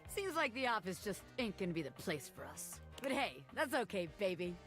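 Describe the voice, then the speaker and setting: A woman's voice: mid-range voice, very animated, normal speed, a bit bright, soft background music. A woman playing a cocky, streetwise small-time gangster pin in a video game, talking in brash wiseguy slang. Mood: cocky, resigned. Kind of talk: video game character acting.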